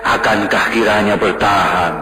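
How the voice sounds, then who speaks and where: A man giving a solemn, contemplative poetry recital in a slow, devotional manner. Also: on the low side, fairly even intonation, pushed and bright. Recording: roomy echo with music clearly underneath.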